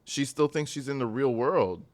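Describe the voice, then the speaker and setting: A man's voice: mid-range voice, expressive, moderate pace. A man hosting a reaction channel, commenting bluntly and mockingly on a jail call.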